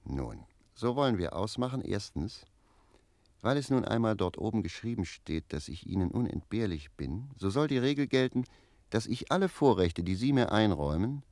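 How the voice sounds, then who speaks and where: Deep, cheeky philosophizing manservant. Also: male voice, very animated, normal speed, darkish tone, dry recording. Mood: sly, polite. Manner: argumentative, philosophizing. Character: cheeky, self-assured manservant lecturing his master. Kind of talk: literary radio play dialogue.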